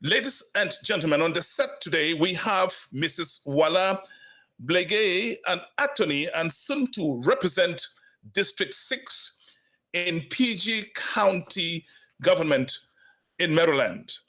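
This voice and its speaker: A man hosting a talk broadcast, giving a formal, measured introduction from a studio. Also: sits high, animated, pushed and bright. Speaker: host.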